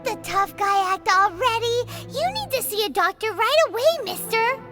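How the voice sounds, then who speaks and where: A woman voicing a tiny, excitable, chatty fairy-like girl companion in a video game, squeaky and childlike, exclaiming often. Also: moderate pace. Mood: excited, concerned. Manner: exclamatory, refers to self in third person. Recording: loud music behind. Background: game music.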